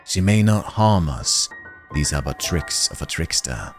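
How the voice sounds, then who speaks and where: Man playing a deep, solemn mysterious stranger. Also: animated, unhurried, music in the background. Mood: commanding. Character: mysterious male stranger, grave and commanding.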